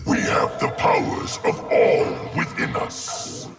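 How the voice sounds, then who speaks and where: An actor voicing a grave, menacing undead construct of many souls, with a raspy growl and solemn, formal delivery for a fighting game. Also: male voice, takes it slowly, music in the background, natural voice. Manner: solemn, formal, speaks of himself as we. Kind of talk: video game fight dialogue.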